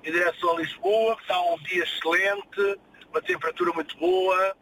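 A man's voice: high voice, steady tone, brisk, a bit bright. A man with a European Portuguese accent chatting casually as a guest, on a distant, noisy call from a moving vehicle. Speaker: interview guest, restaurant owner. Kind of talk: casual phone chat. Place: inside a vehicle on the road.

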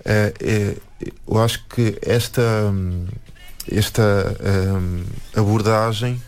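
A man's voice: lowish voice, fairly even intonation, takes it slowly. A man, a musician and anthropologist speaking in an interview, thoughtful and full of restarts and tag questions. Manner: hesitant, many restarts and "não é?". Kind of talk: reflective interview talk.

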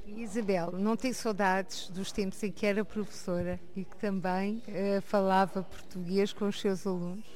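A woman journalist conducts an interview with a mid-range voice. She asks her questions in a measured, direct way. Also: mid-range voice, steady tone, darkish tone.

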